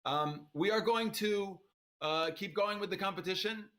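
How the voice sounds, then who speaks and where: A middle-aged man hosts a livestream in a bright, slightly raised voice. He chats loosely and cracks jokes, often restarting his sentences. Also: high voice, normal speed. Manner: chatty, joking, with frequent fillers and restarts. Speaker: host of a violin competition livestream. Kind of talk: casual livestream hosting.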